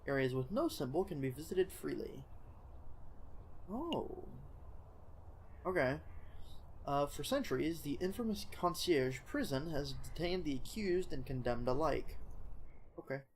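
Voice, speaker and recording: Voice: medium pitch, big pitch swings, unhurried, pauses often, a little mellow, slight background noise, low-quality recording. A streamer with a nasal, stuffed-up voice, casually reading a game aloud on a live stream. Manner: casual, swears. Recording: music in the background.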